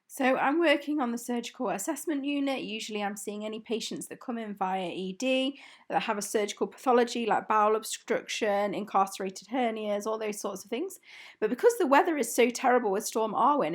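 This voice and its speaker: A young woman, a junior hospital doctor, talking casually to camera in a vlog. Her voice is croaky from a cough and she sounds tired, with many ums. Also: on the high side, expressive, fairly quick. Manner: chatty, tired, filler-heavy.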